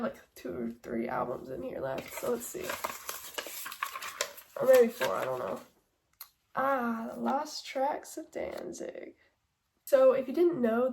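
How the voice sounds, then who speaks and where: A woman's voice: sits high, a little mellow, slight background noise. A young woman with a stuffy nose from a cold, chatting casually and rambling through an album ranking with lots of fillers. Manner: rambling, many fillers and hedges. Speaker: music vlogger.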